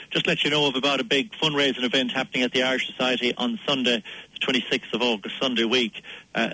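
Bright, dry-voiced male radio presenter. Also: mid-range voice, no echo, poor audio. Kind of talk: casual community radio presenting. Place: radio studio. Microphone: close studio microphone.

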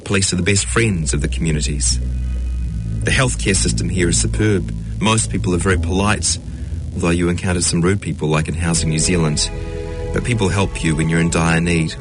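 A man reading a scripted first-person account of his life as a refugee, in a measured, earnest way. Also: low-pitched. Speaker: refugee telling his story. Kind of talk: scripted narration of personal testimony. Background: music.

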